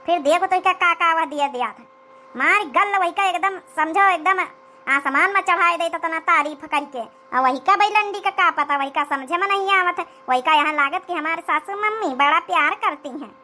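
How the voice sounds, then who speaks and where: A woman voicing a scheming, flattering older village mother-in-law in a comedy cartoon, with an Awadhi accent and wheedling, rustic chatter. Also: very high-pitched, moderate pace. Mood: scheming, coaxing.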